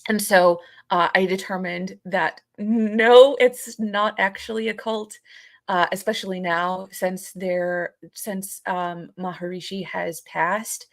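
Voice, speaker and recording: A woman's voice: medium pitch, steady tone, takes it slowly. A woman co-hosting a live podcast, chatting informally and hesitantly as she searches for her words. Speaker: podcast co-host. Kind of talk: casual live podcast chat.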